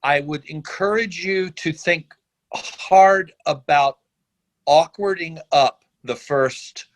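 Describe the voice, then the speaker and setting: A man's voice: fairly high pitch, slow, low-quality recording. A man talking casually and giving feedback as a reader in a script workshop on a video call.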